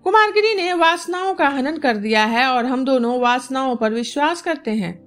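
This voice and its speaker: A bold court dancer, voiced by a woman narrator in an audiobook tale. The voice is fairly high, confident and passionate, with music behind it. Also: lively intonation, normal speed. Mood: reassuring.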